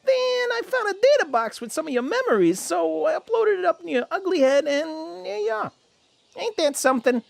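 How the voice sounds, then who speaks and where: A woman's voice: very high voice, sing-song, fairly quick, gravelly, darkish tone, full character voice. A woman voicing a cheeky male rat robot warrior in a cartoon-based radio drama, teasing in slangy banter. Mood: excited, teasing. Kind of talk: animated-series radio drama acting.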